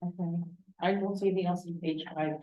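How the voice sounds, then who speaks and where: Mellow woman asking questions, echoey. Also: fairly low pitch, steady tone, quick pace, dull audio. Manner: questioning, checks details. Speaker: committee member. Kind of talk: casual committee discussion. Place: county meeting room. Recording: echoey meeting room.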